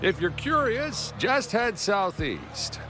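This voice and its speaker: A man's voice: high-pitched, very animated, slow pace, exaggerated voice. A man voicing a friendly, rambling local in a video game cutscene. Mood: chatty. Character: chatty easygoing local man. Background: game music.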